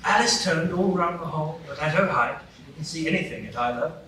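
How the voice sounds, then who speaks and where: Guy narrating a storybook, kinda high voice, big echoey room, some noise behind him. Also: animated, fairly quick. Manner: wry, storytelling. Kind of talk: storybook narration in a live radio play. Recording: very echoey room, mic far off, background noise.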